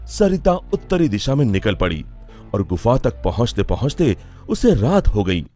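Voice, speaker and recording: A man narrating a scripted animated story in a dramatic storytelling style. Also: fairly low pitch, normal speed. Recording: music under the voice.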